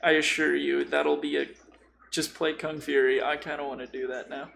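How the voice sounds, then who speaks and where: A man chatting casually on a podcast about games, telling stories in an informal, animated, sweary way. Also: fairly high pitch, moderate pace, gravelly, a little hiss or hum. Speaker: podcast host or guest discussing tabletop games. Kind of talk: casual chat.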